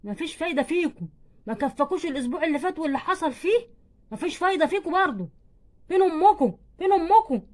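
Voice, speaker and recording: A woman's voice: high-pitched, expressive, very fast. A woman voicing a stern, exasperated father in doll play, nagging and scolding with an Egyptian accent. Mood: angry.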